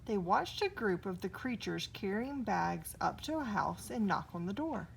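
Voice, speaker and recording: A woman's voice: medium pitch, very animated, normal speed, vocal fry. A woman narrating a children's story expressively, recorded with noise behind her. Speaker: storybook reader.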